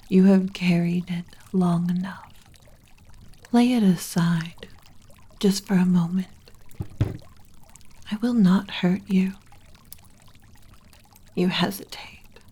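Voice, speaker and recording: Woman as a slow, whispering seductive lich queen. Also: on the low side, mellow, exaggerated voice. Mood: seductive, coaxing. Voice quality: breathy whisper. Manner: slow, deliberate, teasing, regal. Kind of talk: ASMR roleplay, scripted slow dramatic monologue. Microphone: close mic.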